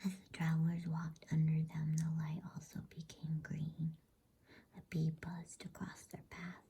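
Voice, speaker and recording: A woman reading softly in a breathy whisper, in a soothing, unhurried ASMR bedtime story style. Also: deep voice, little pitch movement. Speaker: host and storybook reader.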